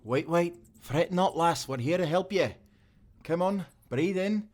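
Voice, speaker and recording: A man's voice: on the high side, lively intonation, unhurried, darkish tone. Man playing earnest timberman sailor. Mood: gentle, reassuring. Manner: earnest, old-time seafaring speech. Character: kind, principled male timberman sailor of the 1790s. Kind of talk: cinematic audio roleplay.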